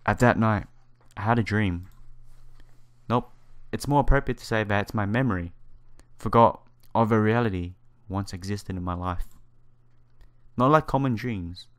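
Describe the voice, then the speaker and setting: A man doing a let's play, reading visual novel text aloud in a halting way and sometimes stumbling over words. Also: lowish voice. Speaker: let's player. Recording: game music behind the voice. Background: visual novel background music.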